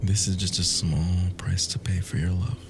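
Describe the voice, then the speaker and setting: A man playing an obsessive, wounded and cruel immortal lover in an ASMR roleplay, breathy and half-whispered, with menacing endearments. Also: low-pitched, level tone, moderate pace, background noise. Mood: wounded, taunting. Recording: music bed behind the voice. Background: music.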